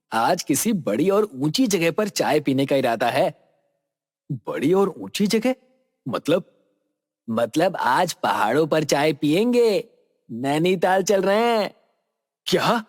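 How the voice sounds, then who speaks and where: Storybook horror young friend voiced by a man: lively, exclamatory, bickering, in a reverberant hall sound. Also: high-pitched, pitched below the performer's own voice. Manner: exclamatory, casual banter. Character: young Indian men, bickering excitable friends.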